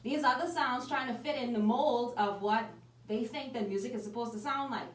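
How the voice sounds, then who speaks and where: A woman's voice: on the high side, normal speed. A Bahamian woman hosting a radio show, chatting in a casual, opinionated way that turns easily to laughter. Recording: roomy studio sound, distant from the mic.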